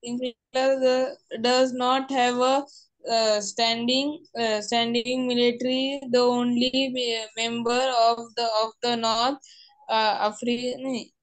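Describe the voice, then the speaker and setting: A woman student on a video call reading a text aloud haltingly, stumbling and restarting words. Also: on the high side, flat delivery, low-quality recording.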